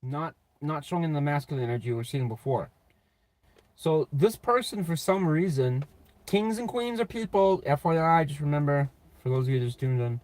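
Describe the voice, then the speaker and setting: A man giving a casual, off-the-cuff tarot reading in a blunt, sweary, slangy way, with a slightly raspy voice. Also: a little high, moderate pace, darkish tone, low-quality recording. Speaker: tarot reader and channel host.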